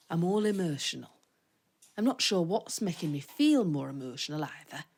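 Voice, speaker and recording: Low, creaky-voiced doting mum. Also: female voice, exaggerated voice. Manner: tender, gently teasing. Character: proud, doting, teasing working-class mother of grown sons. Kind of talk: audio drama, reading a heartfelt letter aloud.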